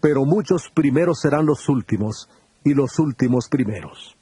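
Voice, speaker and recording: Solemn teacher Jesus. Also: male voice, mid-range voice, lively intonation, normal speed, mellow, husky, no room sound. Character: Jesus, calm earnest adult male teacher. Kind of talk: dramatized scripture reading.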